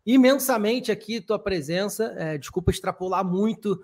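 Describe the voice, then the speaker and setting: A male podcast host with an unusually high voice runs a casual interview. He talks in an upbeat, wordy way with lots of fillers. He keeps the conversation moving with friendly remarks. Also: moderate pace, a little mellow.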